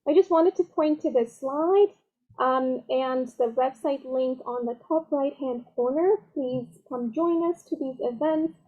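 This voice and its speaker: A woman's voice: high voice, measured pace. A woman wrapping up an online meeting and inviting people to upcoming workshops, friendly in manner. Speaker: project manager closing a meeting. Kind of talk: online presentation talk. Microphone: computer mic on video call. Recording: tinny phone-like call audio.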